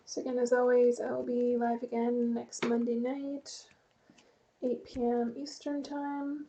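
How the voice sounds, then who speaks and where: A woman streamer talks to her viewers in a high, mellow voice with some vocal fry. Her delivery stays level and relaxed as she rambles through plans and reads chat. Faint noise sits under her voice. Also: measured pace. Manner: relaxed, rambling, chatty with light laughs. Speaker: live streamer. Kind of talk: casual chat to viewers, reading chat aloud.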